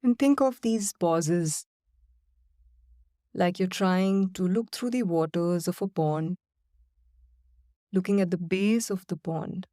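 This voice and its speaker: A woman guiding a body-scan and breathing meditation in slow, calm, soothing instructions with long pauses. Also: lowish voice, no room sound, clean studio sound. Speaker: meditation guide. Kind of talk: guided meditation.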